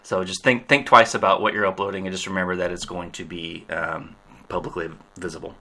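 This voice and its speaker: A middle-aged man explaining an online course website step by step, in a casual, relaxed way with lots of ums. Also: low-pitched, fairly quick. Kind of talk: screen-recorded software walkthrough.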